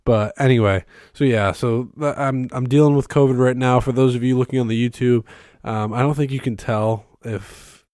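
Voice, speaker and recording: A young man hosting a casual solo podcast from his bedroom, rambling with many ums and restarts, his voice hoarse and congested from being sick. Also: medium pitch, fairly even intonation, moderate pace, darkish tone, dry recording, clean studio sound. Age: young adult. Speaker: podcast host. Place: bedroom used as a studio. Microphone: close microphone, filmed on camera.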